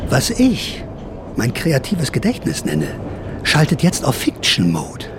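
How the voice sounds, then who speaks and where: A man's voice: mid-range voice, wildly swooping pitch, slow pace, very noisy, heavily acted. An actor playing a sly older counterculture veteran who narrates his memories in a radio drama, confiding, wry and theatrical. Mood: conspiratorial, theatrical.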